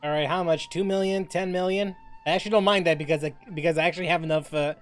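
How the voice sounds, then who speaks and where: A man's voice: a little high, steady tone, fast talker, soft background music. A man commentating casually on a game as a streamer, jokey and often repeating his phrases.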